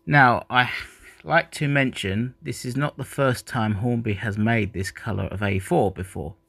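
A man's voice: mid-range voice, unhurried, creaky, fairly bright tone, husky, dry recording. A man who is not a native English speaker, chatting casually while unboxing a model train, rambling and correcting himself.

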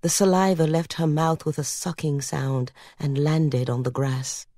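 Female audiobook narrator, deep mellow voice, literary storytelling with a measured, expressive delivery. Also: dry recording, clean studio sound.

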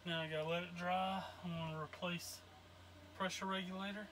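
A man's voice: high voice, level tone, a little fast, fairly bright tone, slight background noise. A man in his forties with an American accent, talking casually as he explains a home plumbing repair step by step, recorded on a phone in a cramped utility room. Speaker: homesteader fixing plumbing. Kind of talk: casual chat. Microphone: phone camera held by another person.

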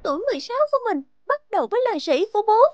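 Very high-pitched, plaintive teenage schoolgirl. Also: lively intonation, very fast, lo-fi sound, soft background music. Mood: bitter, hurt. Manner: plaintive, youthful, emotional. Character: sensitive, aggrieved sixteen-year-old schoolgirl. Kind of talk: animated drama voice acting.

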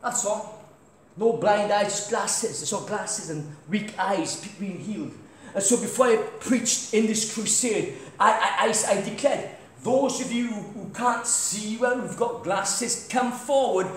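Middle-aged British male preacher, loud and storytelling, high voice, faint background noise. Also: unhurried. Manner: loud crusade-style preaching, walks about. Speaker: guest preacher. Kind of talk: sermon with anecdotes. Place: large church hall. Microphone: far camera, no mic. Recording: echoey church hall, faint noise.

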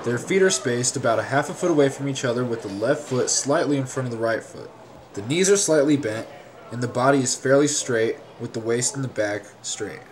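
A man reading a scripted instructional narration in a measured, formal, step-by-step way. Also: medium pitch, a little hiss or hum.

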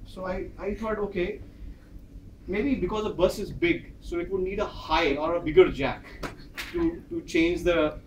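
A man in his thirties, a Hindi speaker with an Indian English accent, delivers a prepared speech in a large carpeted office training room. His voice sits a little high and he moves about animatedly, acting out each exchange. He is recorded from a distant camera, so the room echoes around him. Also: unhurried, slight background noise. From northern India. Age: 30s. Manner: animated, acts out dialogue with gestures, pacing while speaking. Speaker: speaker at a club meeting. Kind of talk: prepared personal speech with storytelling. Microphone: far camera.

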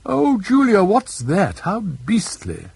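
Man doing blunt young English girl, high-pitched. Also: wildly swooping pitch, slow, gravelly, darkish tone, breathy edge, dry recording, exaggerated voice. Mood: indignant. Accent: English Received Pronunciation. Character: blunt, tender-hearted, outspoken young girl.

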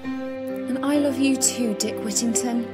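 A woman's voice: fairly high pitch, fairly even intonation, takes it slowly, over loud music. A woman playing a sweet, lovestruck young heroine in a radio pantomime, earnest and girlish. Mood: adoring.

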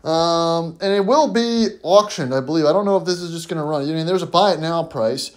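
Casual man with a slightly high voice. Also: fairly quick. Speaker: host of a car auction review channel. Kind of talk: casual unscripted commentary.

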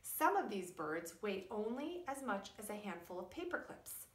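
A middle-aged woman, a preschool teacher, explaining and reading aloud to young children in a deliberate, emphatic, teacherly way. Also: mid-range voice, very animated. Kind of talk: children's science lesson and read-aloud story. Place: indoors in a wood-panelled room at home or school. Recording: echoey room.

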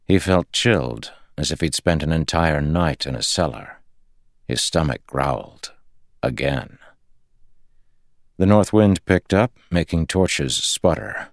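A man's voice: extremely low pitch, lively intonation, slow pace, vocal fry, dry recording, clean studio sound. A man reading fantasy fiction as an audiobook narrator, in a scripted storytelling style.

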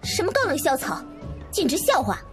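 Actress as young female student, radio drama: high, very lively pitch, indignant and inquisitive. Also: fairly quick, over music, exaggerated voice. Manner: scolding, coaxing. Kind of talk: Chinese audio drama acting.

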